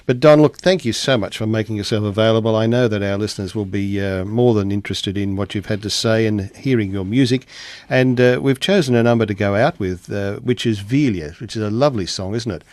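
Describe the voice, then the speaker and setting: A man's voice: lowish voice, a little fast, few pauses, darkish tone, dry recording. A man hosting a conversational radio interview. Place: radio studio.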